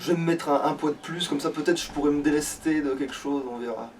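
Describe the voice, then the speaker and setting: A man's voice: fairly high pitch, quick pace. A man talks casually through a game he is playing. He rambles, breaks off and swears freely. The mic sounds distant, with faint noise behind. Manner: crude, swears freely, rambling and self-interrupting. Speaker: let's play gamer at the controls. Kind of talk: casual gaming commentary.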